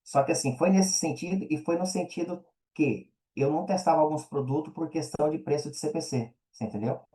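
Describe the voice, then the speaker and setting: A man's voice: a little high, brisk, dark tone. A man with a Brazilian accent speaking as a podcast guest, chatting informally and often checking that the listener follows. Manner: informal, peppered with check-in tags. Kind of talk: casual interview chat.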